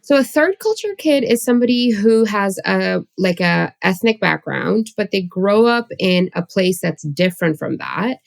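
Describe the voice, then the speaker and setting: A woman's voice: medium pitch, very animated, moderate pace, vocal fry, few pauses, dry recording. A woman in her thirties, a psychotherapist raised in Saudi Arabia and Toronto, chatting casually as an interview guest, with lots of likes and ums. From Pakistani, raised in Saudi Arabia, moved to Toronto at thirteen.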